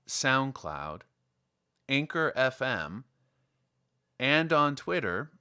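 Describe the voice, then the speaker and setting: A man reading as a podcast host and storyteller, in expressive scripted storybook narration. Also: medium pitch, no echo, studio quality. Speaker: podcast host and audiobook reader.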